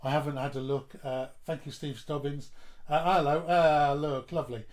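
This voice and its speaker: A man singing his own song live with an acoustic guitar, a little croaky from hay fever, then thanking listeners in rambling chat. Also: on the high side, steady tone, normal speed. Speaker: singer-songwriter. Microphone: home livestream microphone.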